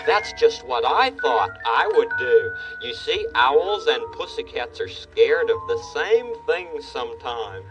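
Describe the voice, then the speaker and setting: A man's voice: medium pitch, very animated, slow, hardly any pauses, music in the background. A man voicing a friendly, childlike owl puppet in a children's show, boyish, folksy and eager. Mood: earnest, curious. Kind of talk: children's television puppet play. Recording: muffled, distant old TV soundtrack.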